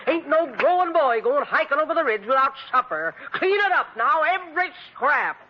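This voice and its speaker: A woman's voice: high voice, very animated, moderate pace, noisy background. A woman playing a bossy, motherly roadside diner keeper with folksy, brusque rural speech in a 1950s radio drama. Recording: dull old radio recording.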